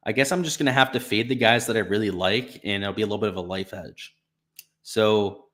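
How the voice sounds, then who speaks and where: A young man with a slightly raspy voice from being sick, casually talking through betting picks on a live stream, thinking aloud. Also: mid-range voice, a bit bright. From Florida. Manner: casual, thinking aloud with fillers like "right" and "you know". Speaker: sports betting stream host.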